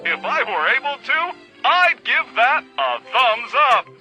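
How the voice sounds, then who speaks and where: A man's voice: very high-pitched, big pitch swings, a little slow, over loud music, heavily acted. An actor playing a worried, earnest suburban father with hammy delivery in a parody commercial. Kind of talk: comic commercial acting.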